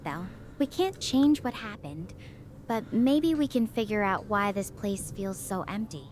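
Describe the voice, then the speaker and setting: A woman playing a stranded, guilt-ridden young astrophysics researcher in a sci-fi drama, speaking softly and hesitantly in a confiding way. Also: fairly high pitch, a little hiss or hum, natural voice. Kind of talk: conversational sci-fi roleplay.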